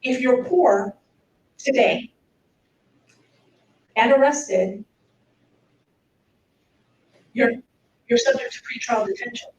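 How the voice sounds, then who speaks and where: A middle-aged woman from Mexico speaks English in a slightly high voice, earnest and persuasive. She is a public defender at a podium taking questions. She sounds echoey and far from the mic, with faint room noise. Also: takes it slowly, pauses often, a little mellow. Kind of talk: public speech and audience Q&A.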